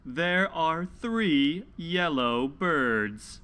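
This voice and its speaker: A man hosting a children's read-along, reading aloud and teaching in deliberate, simple phrases for young learners. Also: fairly high pitch, animated, sharp tone, clear, resonant tone. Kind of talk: slow, scripted children's read-aloud lesson.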